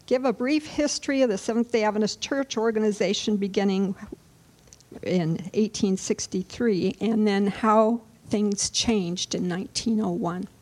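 A woman from Michigan moderating a church panel discussion, speaking gently and earnestly. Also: mid-range voice. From Michigan, USA.

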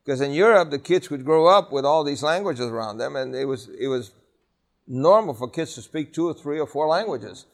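A man's voice: on the high side, lively intonation, fairly quick. A man teaching a Bible class, conversational and emphatic, often asking the students questions. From New York City.

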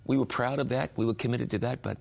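A man's voice: mid-range voice, fairly even intonation, fast, creaky, darkish tone. A middle-aged man, a guest telling his story in an earnest, reflective way. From New Zealand. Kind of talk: interview conversation.

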